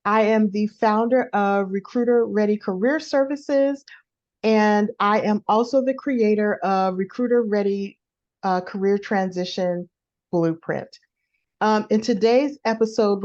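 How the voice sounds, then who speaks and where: A woman's voice: medium pitch, a little mellow, lo-fi sound. A woman from California hosting a career podcast in a casual, upbeat way, laughing easily and saying like often. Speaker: podcast host, career coach.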